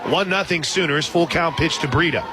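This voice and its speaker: A man doing live radio play-by-play of a softball game, mixing pitch calls with shout-outs to listeners. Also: fairly quick, heavy background noise. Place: outdoor stadium broadcast position. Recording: broadcast with loud crowd and background sound. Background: loud crowd and music.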